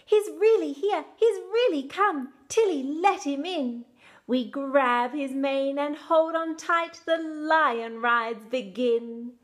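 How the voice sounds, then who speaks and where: Woman, fairly high voice, very slow pace, expressive storybook read-aloud, measured and dramatic. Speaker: author reading own picture book.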